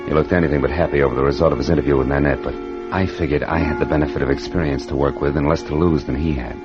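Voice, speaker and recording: Low-voiced hard-boiled detective, old radio. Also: male voice, fairly even intonation, rapid-fire, loud music behind the voice. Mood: cool, narrating. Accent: American. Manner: clipped, slangy, sardonic. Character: tough wisecracking male private detective, cynical and cocky. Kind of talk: 1940s-50s radio drama acting, hard-boiled narration. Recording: dull noisy vintage radio recording.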